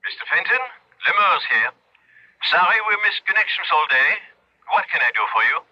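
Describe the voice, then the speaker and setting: An actor playing a brisk, guarded businessman through a telephone effect in an old-time radio drama. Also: fairly high pitch, very animated, highs cut off. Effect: telephone filter.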